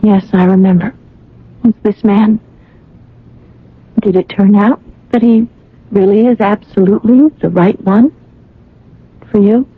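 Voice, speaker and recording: An actress playing an earnest, wistful young woman traveler in a radio drama, speaking in a measured, reflective way. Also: medium pitch, steady tone, husky. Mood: curious, earnest. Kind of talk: 1980 radio drama acting and first-person narration. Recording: muffled vintage radio with music bed.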